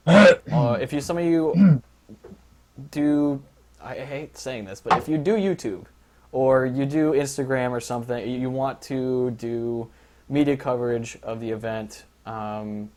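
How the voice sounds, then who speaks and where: Casting: young guy, mid-pitched, loose and rambling, jokey league organizer doing a casual stream briefing. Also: darkish tone. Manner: rambling, filler-heavy, joking.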